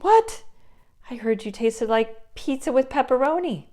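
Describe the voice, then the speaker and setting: A mean old bridge troll, put on by a woman, blusters with greedy menace. The delivery is animated and swings widely, with a gullible streak, in storybook puppet-show style. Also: high voice, unhurried, pitched above the performer's own voice. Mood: indignant. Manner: blustering, shouting commands.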